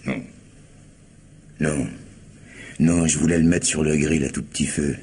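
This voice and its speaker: An actor playing a gruff, streetwise and indignant man in a 1950s-style French radio crime drama, speaking in emphatic slang. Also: a bass voice, fairly even intonation, unhurried, darkish tone, full character voice. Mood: indignant, defiant. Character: gruff male witness with a streetwise, indignant temper. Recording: noisy old radio drama recording.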